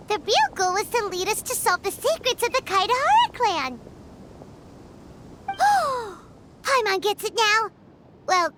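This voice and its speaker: A woman's voice: very high voice, background noise, exaggerated voice. A woman voicing a tiny, childlike fairy companion in an anime-style video game, chatty, dramatic and calling herself by name. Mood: excited, surprised. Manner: refers to self by name in third person.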